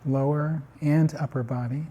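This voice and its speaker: A man guiding a meditation in calm, unhurried short phrases with long pauses, his voice slightly husky. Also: on the high side, highs cut off. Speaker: meditation guide. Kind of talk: guided body scan meditation.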